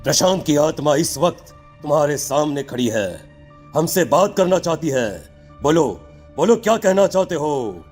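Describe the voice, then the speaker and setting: A man's voice: a little high, animated, moderate pace, a little mellow, soft background music. A man playing a stern, commanding tantric holy man in a dramatic cartoon horror story, solemn and accusing.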